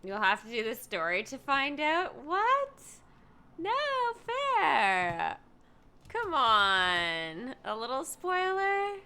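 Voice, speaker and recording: A woman streamer giving casual, reactive gameplay commentary, expressive and thinking aloud. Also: high-pitched, slow pace, smooth. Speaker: game streamer.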